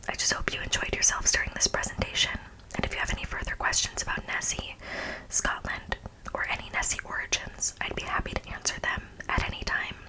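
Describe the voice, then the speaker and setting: A woman's voice: sharp tone, rough recording. Young woman whispering casual ASMR. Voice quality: breathy unvoiced whisper. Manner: informal, mock-serious. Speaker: ASMR video host.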